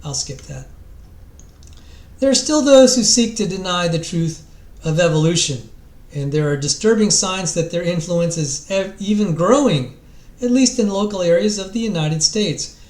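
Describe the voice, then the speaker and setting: Man, raised pitch, dramatic book reading, emphatic delivery, noticeable room echo. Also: animated, darkish tone. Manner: theatrical, emphatic, with stressed and drawn-out phrases. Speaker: reader of a book introduction. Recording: echoey, roomy sound.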